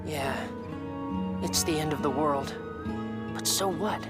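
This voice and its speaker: A determined teenage cartoon hero. He sounds earnest and youthful, with loud music behind him. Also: on the high side, slow. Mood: resolute. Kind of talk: animated series acting.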